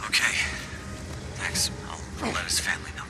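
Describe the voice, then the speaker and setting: A man's voice: sits low, moderate pace, vocal fry, in a whisper. A man playing a guarded, dutiful team commander in a video game, speaking in terse, formal radio phrases. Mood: defensive, somber. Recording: game music behind.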